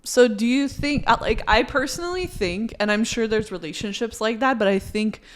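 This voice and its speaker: A woman's voice: a little high, normal speed. A young adult woman chatting casually as a podcast co-host, saying "like" often and laughing easily. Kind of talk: casual chat.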